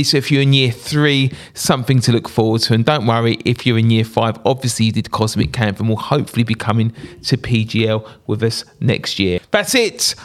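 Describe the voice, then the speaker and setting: A man hosts a school podcast for parents. He runs through the notices in an upbeat, chatty way and slips in little jokes. His voice sits at an ordinary pitch. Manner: chatty and upbeat, jokey asides. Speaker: school staff member hosting a school podcast. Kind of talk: casual announcements to parents.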